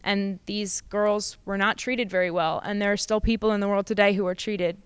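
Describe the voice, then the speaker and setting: A woman's voice: mid-range voice, steady tone, moderate pace, smooth, no echo. A young woman in her late teens, a student actor speaking earnestly and casually in an interview.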